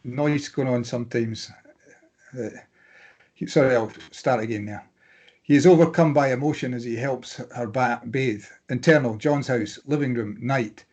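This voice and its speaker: A man's voice: mid-range voice, moderate pace, a little mellow. A man reading stage directions as scripted narration at a drama table read.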